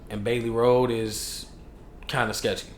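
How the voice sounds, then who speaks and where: A man's voice: medium pitch, measured pace. A young man from Chicago with a Chicago accent, a hip hop artist talking candidly and in slang as a podcast guest. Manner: relaxed, slangy, candid storytelling. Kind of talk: casual podcast interview. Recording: echoey room with faint noise.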